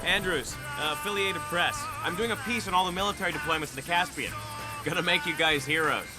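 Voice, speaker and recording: A man's voice: a little high, fast, lightly acted, poor audio. Actor as wry male war reporter, music behind. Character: male war reporter, wry, narrating his story gravely. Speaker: embedded war reporter and narrator. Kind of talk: dramatic game cutscene narration and dialogue.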